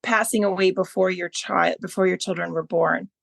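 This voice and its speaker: A woman's voice: fairly low pitch, fairly even intonation, moderate pace, gravelly, no room sound. A woman hosting an interview podcast, asking winding, loosely phrased questions in a conversational way. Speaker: podcast host. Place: remote online call.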